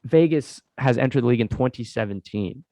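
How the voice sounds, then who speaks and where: A man's voice: medium pitch, brisk, warm tone, dry recording. A man hosting a casual sports podcast, congested from a cold, rambling with lots of fillers as he talks to his co-host. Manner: rambling, many "uh" fillers, keeps addressing co-host.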